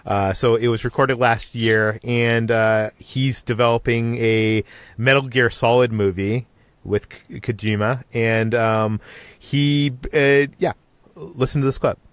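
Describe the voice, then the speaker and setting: A man hosting a podcast in a casual way, feeling his way haltingly into his sentences. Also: medium pitch, fairly even intonation, smooth, dry recording. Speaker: podcast host and interviewer.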